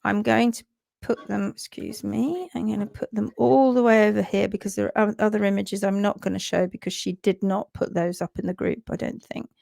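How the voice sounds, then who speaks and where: A croaky woman talks into a computer mic on a video call. Her pitch sits in the middle range, and the sound is dry and close. She chats loosely and mutters to herself as she teaches. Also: fairly even intonation, fairly quick, darkish tone, no room sound. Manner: chatty, mutters to herself while fumbling with the screen. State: croaky, sore throat. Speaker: host of a hoof care livestream. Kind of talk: casual livestream talk and teaching.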